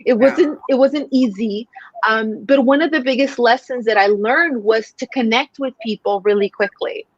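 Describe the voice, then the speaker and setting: A woman's voice: on the high side, normal speed. A woman in her forties from Mexico, a native Spanish speaker, telling stories earnestly as a sales coach guest, with many fillers. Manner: earnest, storytelling, frequent fillers. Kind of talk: casual interview talk. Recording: dull lo-fi sound.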